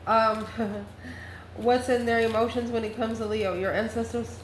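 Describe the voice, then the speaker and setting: A woman's voice: fairly high pitch, fairly even intonation, a little slow. A woman giving a casual, mystical tarot reading, speaking directly and affectionately to the listener as host. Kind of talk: casual spoken tarot card reading to camera. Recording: echoey room, clearly noisy background.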